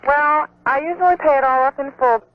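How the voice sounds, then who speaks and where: A woman's voice: high voice, a little fast, dry recording. A woman calling a radio show by telephone, methodically explaining her question. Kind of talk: explaining a personal question on air. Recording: dull telephone line on radio.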